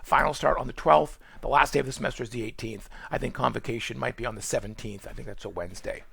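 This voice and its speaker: A man from Boston with a Boston accent, giving a college course orientation in quick, rambling speech full of fillers and restarts. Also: mid-range voice, creaky, a bit bright, slightly rough. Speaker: college nutrition instructor. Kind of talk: screen-recorded course orientation.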